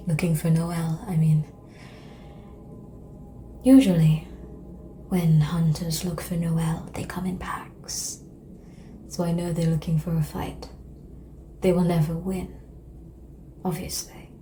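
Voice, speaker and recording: A woman playing a calm, seductive ancient vampire in an ASMR roleplay, speaking softly and breathily, often whispering, with formal, measured phrasing. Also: lowish voice. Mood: amused, reassuring, then grave, disdainful. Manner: slow, measured, formal phrasing with long pauses. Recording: clear background noise and ambience.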